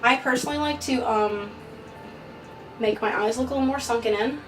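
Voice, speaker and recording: Young woman, drag king artist, casual makeup walkthrough, jokey and self-deprecating, higher voice, mic set back, music underneath. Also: moderate pace. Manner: offhand, joking, self-deprecating. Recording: distant mic with music behind.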